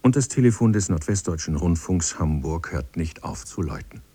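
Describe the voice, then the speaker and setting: A man with a deep, dark, husky voice presents a scripted radio feature. The sound is dry and close. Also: lively intonation, moderate pace, dry recording. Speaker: narrator.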